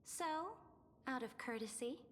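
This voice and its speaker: A woman's voice: on the high side, measured pace, a bit bright, slight background noise. A woman playing a regal, coldly courteous conquering empress in a video game, speaking in a formal, commanding way. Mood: cold, imperious. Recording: long hall reverb over game music.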